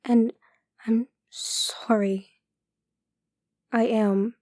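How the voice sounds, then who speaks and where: A woman plays a guarded, stubborn police chief in an audio drama, a protective big sister with a mellow, higher voice. She takes her time over her words, and the room echoes around her. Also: level tone, extremely slow, studio quality. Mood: concerned, gentle.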